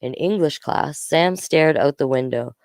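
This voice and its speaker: Clear, smooth storyteller in a dry room. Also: medium pitch, measured pace, dry recording. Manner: informal, acts out dialogue. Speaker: storyteller, host of a read-aloud series. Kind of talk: reading a children's novel aloud with casual asides.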